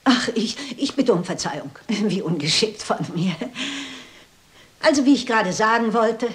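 An elderly lady sleuth played by a woman with a medium-pitched voice. She is dignified, polite and inquisitive, speaking precisely in a crime radio drama. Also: lively intonation, normal speed, a bit bright, natural voice. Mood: apologetic. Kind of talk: German crime radio play acting.

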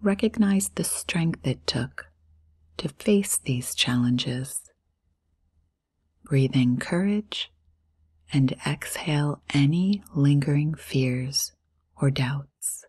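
A woman with a breathy, whispery voice leading a guided meditation in a soothing, unhurried way, in short phrases with long pauses. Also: sits low, warm tone.

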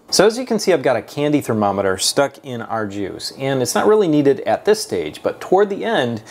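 A man, hosting a homesteading how-to vlog, explaining each step steadily to camera on a lapel mic. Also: mid-range voice. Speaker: homesteading channel host. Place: home workshop barn, then a kitchen, indoors. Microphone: clip-on lapel mic.

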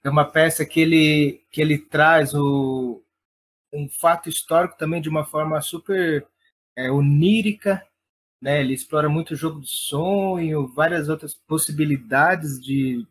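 A man's voice: fairly high pitch, a little slow, slight background noise. A man talking casually and enthusiastically as an interview guest, in an informal way. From Brazil. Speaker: guest, playwright and theatre director.

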